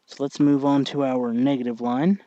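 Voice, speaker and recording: A man narrating a casual hands-on tutorial, thinking aloud in a halting way as he works. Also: medium pitch, little pitch movement, mellow, dry recording, dull audio. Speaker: tutorial host.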